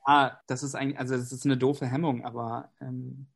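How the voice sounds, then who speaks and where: A man's voice: mid-range voice, level tone, brisk, gravelly. A man whose first language is English, speaking German in a casual, reflective conversation with frequent fillers. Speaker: conversation partner.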